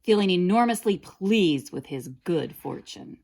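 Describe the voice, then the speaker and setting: A woman narrating a children's story in a dramatic, deliberate, expressive way. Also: fairly low pitch, gravelly. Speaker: storybook reader.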